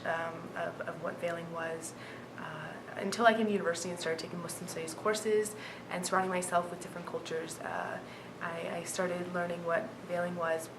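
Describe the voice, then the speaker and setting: A woman of twenty-one, a student, giving a hesitant personal answer in an interview. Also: lowish voice. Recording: clear ambient background noise.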